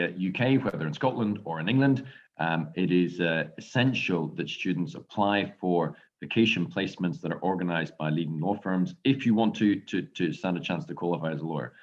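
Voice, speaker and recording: A man with an Irish accent, a law lecturer and barrister, calmly answering students' questions in an online lecture. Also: low voice, normal speed. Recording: dull lo-fi audio.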